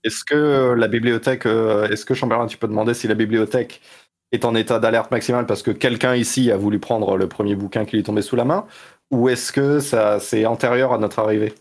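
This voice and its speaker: A man's voice: fairly low pitch, fairly even intonation, brisk. A man playing a tabletop role-playing game, making wry jokes and asking questions. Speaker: player. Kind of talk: casual tabletop play.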